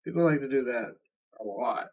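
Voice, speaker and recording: A man's voice: medium pitch, normal speed, creaky, darkish tone. A young man with a Southern US accent, hosting a reaction video and ranting in a blunt, rambling, profane way. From Southern United States. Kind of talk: casual ranting commentary.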